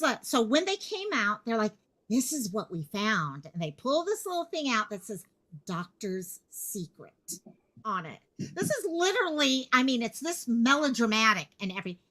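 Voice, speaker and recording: Woman host of a live chat stream, chatty and friendly with viewers, a little high-pitched. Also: bright, forceful tone, rough recording. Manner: chatty, greets viewers by name.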